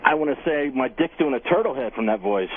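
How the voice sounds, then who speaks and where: A male caller talks quickly in a mid-pitched voice. He is casual and crude, heard over a narrow, thin phone line. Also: animated.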